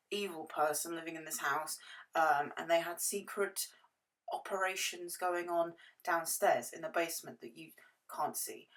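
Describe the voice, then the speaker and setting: A young woman with a hoarse, worn-out voice, chatting casually through a game build and correcting herself as she rambles. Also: mid-range voice, sharp tone. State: hoarse, lost voice. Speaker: gaming YouTuber.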